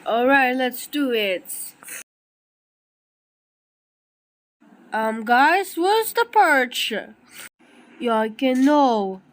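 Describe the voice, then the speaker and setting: A woman voicing an anxious young cartoon character in amateur animation dialogue, with halting, stilted delivery. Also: high voice, expressive, poor audio. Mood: hesitant.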